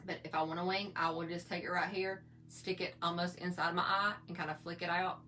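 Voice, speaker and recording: A middle-aged woman with a slightly hoarse voice, chatting in a folksy, rambling way while she shows her makeup routine. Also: fairly low pitch, level tone, a little fast, bright, forceful tone, a little hiss or hum. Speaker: makeup vlogger. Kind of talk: casual makeup tutorial chat.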